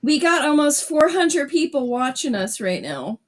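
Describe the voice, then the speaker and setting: A woman co-hosting a casual late-night live stream from inside her closet, upbeat and quick to giggle. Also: high voice, big pitch swings.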